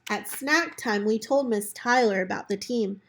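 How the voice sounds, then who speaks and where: A woman reading a children's book aloud in an expressive way, as a storyteller talking to children. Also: mid-range voice, moderate pace, a little hiss or hum. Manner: animated character voices for dialogue, chatty asides to children.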